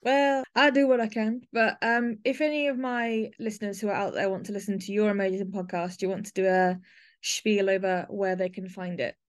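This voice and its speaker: A woman's voice: medium pitch, flat delivery, a little fast. A woman, speaking conversationally as the host of a podcast.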